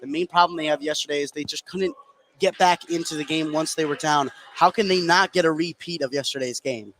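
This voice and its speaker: High-voiced man calling basketball over noise. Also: a little fast, fairly bright tone. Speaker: play-by-play basketball announcer. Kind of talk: live radio sports commentary. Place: indoor gymnasium during a game. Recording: noisy live gym broadcast.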